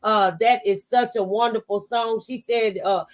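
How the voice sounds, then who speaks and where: Woman, radio talk host, higher voice, conversational, preacherly, faith-based encouragement. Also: fairly even intonation, unhurried. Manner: preacherly, testifying.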